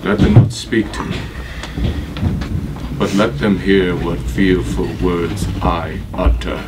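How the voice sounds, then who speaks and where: A man's voice: deep voice, fairly even intonation. An actor playing a vengeful, grief-maddened Roman father in a declamatory Shakespearean stage monologue. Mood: grim, controlled. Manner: declamatory, deliberate, emphatic. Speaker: actor in a workshop. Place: acting workshop, indoors. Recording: distant mic, clearly noisy workshop room.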